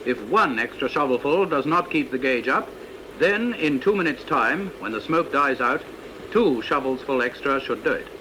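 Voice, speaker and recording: A British man reading a scripted instructional narration for a vintage training film, in a precise, measured, didactic manner. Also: mid-range voice, animated, noisy background.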